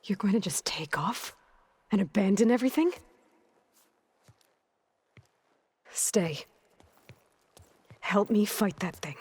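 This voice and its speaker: A woman playing a brave, determined young heroine in a video game drama, blunt and defiant, with a husky, breathy voice. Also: medium pitch, expressive, measured pace, darkish tone. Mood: pleading.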